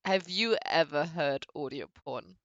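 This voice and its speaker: A woman chatting casually with her partner about games, teasing and quick to react. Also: deep voice, expressive, fairly bright tone, dry recording, muffled recording. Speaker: guest, girlfriend. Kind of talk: casual chat.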